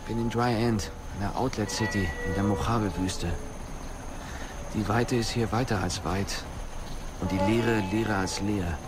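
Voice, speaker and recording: An actor playing a gloomy, demanding boss in a wheelchair, speaking tersely in a German radio play. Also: male voice, lowish voice, steady tone, a little slow, over loud music, natural voice. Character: weary, irritable male boss in a wheelchair, stranded in desert. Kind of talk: German radio play monologue, message-like delivery.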